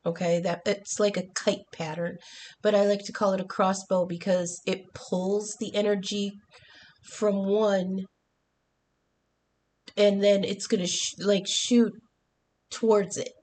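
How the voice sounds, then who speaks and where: A woman's voice: mid-range voice, flat delivery, unhurried, pauses often, a little mellow. A woman with a congested voice giving a chatty tarot and astrology reading, full of ums and restarts, cursing now and then. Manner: swears casually. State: congested from the flu. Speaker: tarot reader.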